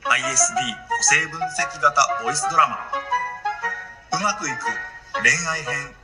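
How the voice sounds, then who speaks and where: A man's voice: on the high side, normal speed, lightly acted. A man performing as a promotional narrator, announcing the title of a romance audio drama in scripted style. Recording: loud music over the voice.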